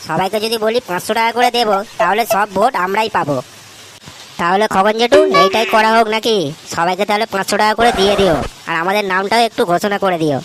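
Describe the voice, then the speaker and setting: A woman's voice: medium pitch, quick pace, close to an everyday voice. A woman voicing a pushy, foul-mouthed young lad who wheedles as a sidekick in a comedy cartoon. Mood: scheming. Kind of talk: Bengali comedy cartoon voice acting. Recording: noisy audio with clicks.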